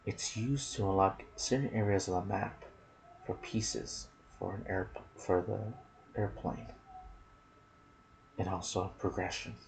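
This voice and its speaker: Male ASMR gaming host, soft and intimate delivery slipping into whispers, low gravelly register. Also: fairly even intonation, takes it slowly, long pauses, slight background noise, poor audio. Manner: soft-spoken, sometimes dropping to a whisper. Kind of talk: ASMR gaming commentary, reading trivia with asides.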